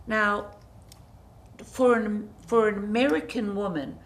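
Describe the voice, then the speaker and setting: A middle-aged woman, a design guest on a TV studio interview, speaking graciously with effusive, drawn-out emphasis. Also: medium pitch, steady tone, a little hiss or hum. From New Zealand. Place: TV studio set.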